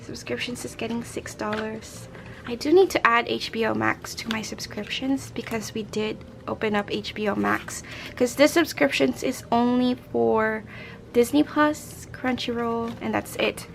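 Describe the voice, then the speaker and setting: A young woman with a raspy, hoarse voice, chatting casually as a budgeting vlogger and counting out cash into envelopes. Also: fairly high pitch, a little slow, slight background noise. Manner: chatty, rambling, counts money aloud. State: hoarse from a late night. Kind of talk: casual chat while stuffing cash envelopes.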